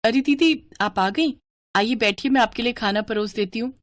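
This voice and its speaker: A woman voicing a gentle, dutiful young daughter-in-law, polite and meek, in a story. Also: a little high, normal speed, close to an everyday voice.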